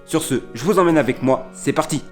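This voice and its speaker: A man opens his video by greeting viewers and setting up the visit. He speaks in a friendly, direct manner with a somewhat high voice. Soft background music plays. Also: brisk. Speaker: channel host. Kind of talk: scripted video intro. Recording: faint music behind.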